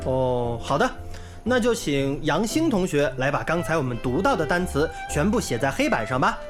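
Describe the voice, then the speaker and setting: A woman performs a gentle village teacher in a radio play. Her voice is low yet animated, patient and encouraging in manner. Music is clearly heard behind her. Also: moderate pace. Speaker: schoolteacher. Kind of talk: radio drama acting.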